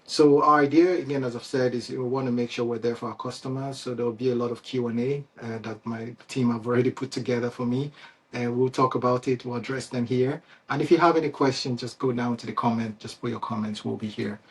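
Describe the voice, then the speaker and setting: A man's voice: mid-range voice, steady tone, brisk, husky. A man with a Nigerian accent, a company founder talking casually and unscripted to his customers, with frequent fillers and restarts. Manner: conversational, many fillers and restarts, chuckles often. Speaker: CEO and founder hosting a company Q&A.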